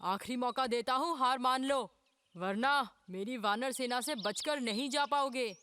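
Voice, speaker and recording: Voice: sits high, a little slow, pushed and bright, clean tone. A performer voicing a plucky, confident young boy hero in a cartoon dub, bold and earnest. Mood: defiant. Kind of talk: animated cartoon dub acting. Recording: music behind the voice.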